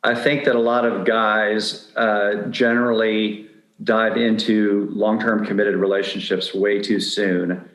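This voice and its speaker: A man's voice: lowish voice, little pitch movement, measured pace, a bit bright. Middle-aged man in an echoey room. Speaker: podcast guest giving dating advice. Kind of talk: candid conversational interview.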